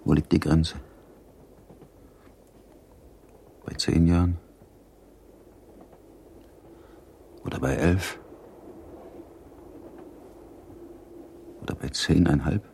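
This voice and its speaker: An actor playing a brooding, duty-bound middle-aged Prussian baron in a German radio play, speaking in a measured, reflective way. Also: male voice, a bass voice, fairly even intonation, gravelly, darkish tone, a little hiss or hum. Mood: doubting. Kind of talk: German radio play acting, interior monologue.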